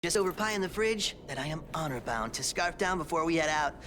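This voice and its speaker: A man's voice: fairly high pitch, lively intonation, fast talker, noisy background, close to an everyday voice. An actor playing a laid-back, food-loving teenage turtle boy in a video game, talking playfully in surfer slang. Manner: surfer-dude slang, mock-solemn, playful. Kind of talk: video game cutscene acting.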